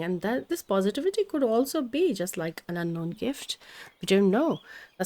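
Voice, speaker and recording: A woman tarot reader whose first language is Hindi, her voice sitting a little low. She talks gently and meanders, often pausing on fillers and restarting, with birds chirping faintly behind her. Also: dry recording. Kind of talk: spiritual tarot reading.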